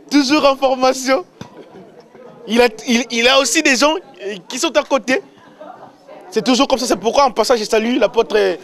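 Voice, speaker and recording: A man hosting a religious interview in a halting, repetitive way. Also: high-pitched. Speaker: religious show host.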